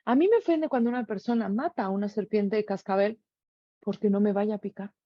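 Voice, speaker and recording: A woman's voice: mid-range voice, a little fast, no room sound, highs cut off. A middle-aged woman with a Mexican accent giving an earnest reflective monologue, full of rhetorical questions. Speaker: speaker sharing reflections.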